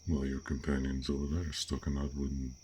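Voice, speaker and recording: A man playing a gentle, curious dragon in a roleplay audio drama, with formal, old-fashioned, pensive speech. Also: rumbling low, little pitch movement, fairly quick, slight background noise. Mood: gentle, polite.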